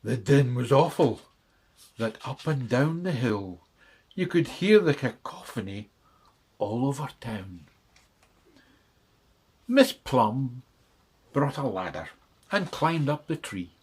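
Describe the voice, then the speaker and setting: A man's voice: mid-range voice, expressive, slow pace, pauses often, a little mellow, breathy edge. A man reading a children's bedtime story in rhythmic verse with dramatic delivery.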